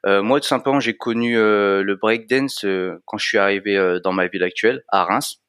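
A man in his late thirties from France, a fitness coach talking casually as an interview guest, relaxed and hesitant with many fillers. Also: lowish voice, normal speed, clean tone, no echo, clean studio sound. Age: 30s.

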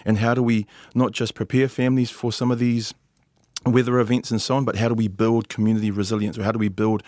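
Low-voiced New man, halting speech. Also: flat delivery, darkish tone, dry recording, studio quality. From New Zealand. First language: Samoan. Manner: hesitant, many filler sounds and restarts. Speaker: lawyer and policy advisor, podcast guest. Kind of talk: casual conversational introduction.

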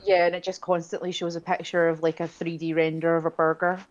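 A woman's voice: on the low side, level tone, quick pace. A young woman co-hosting a podcast, chatting casually with a wry, self-deprecating humour. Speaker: podcast co-host. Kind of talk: casual chat. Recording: dull lo-fi sound.

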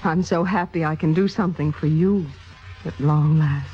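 An actress playing a young, ambitious, coolly calculating stage actress in a 1950s radio drama, poised and theatrical. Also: female voice, low voice, normal speed, soft background music, close to an everyday voice. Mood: coldly menacing. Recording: muffled vintage radio broadcast.

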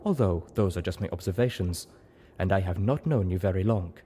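A man voicing a calm, observant female zebra in an audiobook story, speaking frankly in measured, formal phrases. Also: sits low, slight background noise, close to an everyday voice.

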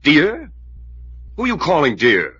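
An actor playing a bitter, jealous, hot-tempered invalid who snaps accusations in an old-time radio melodrama. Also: male voice, fairly high pitch, wildly swooping pitch, measured pace, bright, forceful tone, a little hiss or hum, heavily acted. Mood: jealous rage. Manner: snapping, accusatory. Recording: dull old radio recording.

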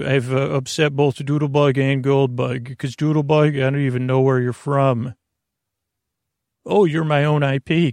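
A man hosting a podcast in a meandering, halting monologue, often trailing off mid-thought with a creaky, gravelly voice. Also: medium pitch, dry recording, studio quality. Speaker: podcast host.

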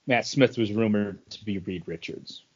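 A man's voice: on the low side, normal speed, husky, dull audio. A man co-hosting a podcast, chatting casually about geek culture and often searching for his words. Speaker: podcast co-host.

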